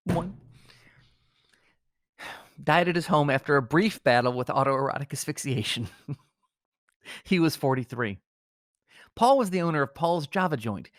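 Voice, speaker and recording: Higher-voiced male comedy podcast co-host. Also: dry recording, studio quality. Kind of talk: comedic banter and mock-solemn obituary reading.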